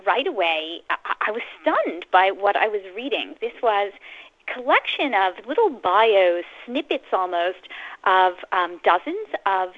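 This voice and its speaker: A woman's voice: medium pitch, sing-song, unhurried. A woman from Canada, an author guest on a radio interview, talking eagerly with frequent stammered restarts over a phone line.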